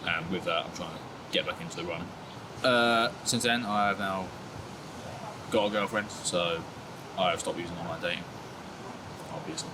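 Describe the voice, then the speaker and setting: Low-pitched young man, laid-back, self-mocking, heavy air-con and traffic hum behind. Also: fairly even intonation, normal speed, long pauses. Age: 20s. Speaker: interviewee. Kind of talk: candid casual chat.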